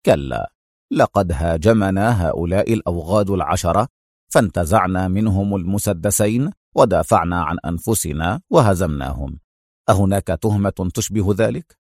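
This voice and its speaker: Man acting out a cool, low-voiced ironic hero. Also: unhurried, breathy edge, dry recording, studio quality, exaggerated voice. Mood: calm, firm. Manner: calm, cold, ironic. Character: cool, unflappable, wryly sarcastic Egyptian male hero. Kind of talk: dramatized audiobook character voice.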